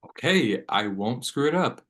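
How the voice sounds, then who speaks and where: A man's voice: medium pitch, very animated, unhurried, a bit bright. Laid-back, blunt teenage boyfriend, read by a man. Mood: skeptical, teasing. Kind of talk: table read of a horror film script.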